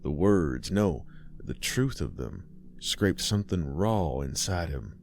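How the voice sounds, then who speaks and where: Deep-voiced man narrating horror. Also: sing-song, gravelly, darkish tone, slight background noise. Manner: measured, ominous storytelling. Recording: music bed behind.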